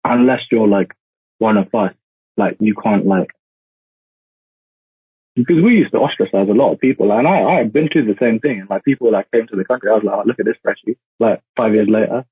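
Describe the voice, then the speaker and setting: Guy in his 20s from india calling in, low voice, dry tinny line, rambles with "like" a lot. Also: fairly quick, breathy edge, no room sound. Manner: rambling, many "like" fillers, self-deprecating. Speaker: podcast guest, law graduate. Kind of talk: casual chat over a call. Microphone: calling in remotely. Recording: tinny, phone-like call audio.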